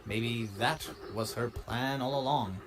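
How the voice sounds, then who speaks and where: A man's voice: medium pitch, slow, background noise, close to an everyday voice. A man voicing a wistful traveling salesman pony in a cartoon comic dub, telling his tale with earnest melodrama. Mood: bitter. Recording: very loud music underneath.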